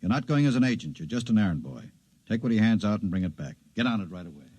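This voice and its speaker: An actor playing a no-nonsense agency chief with a clipped, commanding manner in a 1950s radio drama. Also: male voice, on the low side, lively intonation, fast talker, darkish tone, close to an everyday voice. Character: brisk, no-nonsense male government agency chief giving orders.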